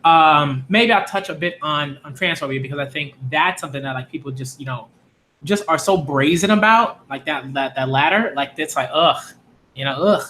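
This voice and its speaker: A man's voice: on the high side, normal speed, pushed and bright, a little hiss or hum. A man in his late twenties, a channel host talking straight to his viewers in an emphatic, repetitive, exasperated way. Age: 20s. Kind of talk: direct-to-camera rant.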